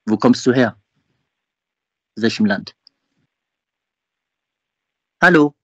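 A man's voice: medium pitch, lively intonation, slow, fairly bright tone, slightly rough, no echo. A man on a phone call, mocking the caller and repeating the same question over and over. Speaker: prank caller baiting scam callers. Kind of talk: confrontational phone prank chat.

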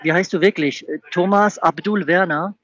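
A man's voice: sits high, normal speed, fairly bright tone, no room sound. A man on a phone call, mocking the caller and repeating the same question over and over. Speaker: prank caller baiting scam callers. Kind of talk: confrontational phone prank chat.

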